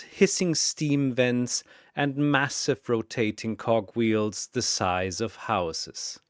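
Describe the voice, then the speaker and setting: Slow, dramatic male narrator with resonant voice. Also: medium pitch, fairly bright tone, clean tone, dry recording, clean studio sound. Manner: theatrical, deliberate. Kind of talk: dramatic scripted fantasy narration.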